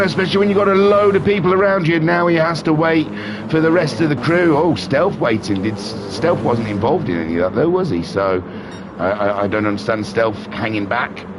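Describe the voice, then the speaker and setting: Nasal racing commentator with a cold. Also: male voice, sits high, background noise. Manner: excitable, jokey, rambling asides. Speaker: race commentator. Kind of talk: live sim racing commentary.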